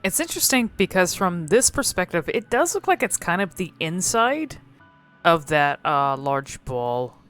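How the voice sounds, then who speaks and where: A woman's voice: on the low side, sing-song, measured pace, a bit bright, soft background music. A woman hosting casual, unscripted game commentary, musing and thinking aloud in a hesitant way. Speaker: video host exploring game cutscenes.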